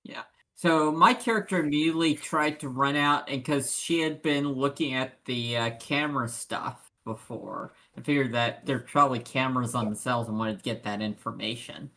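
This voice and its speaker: A man's voice: medium pitch, normal speed, pushed and bright. A man talking casually as a tabletop player, describing what his character does. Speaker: player in a tabletop roleplaying game. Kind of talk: casual game chat, describing a character's actions.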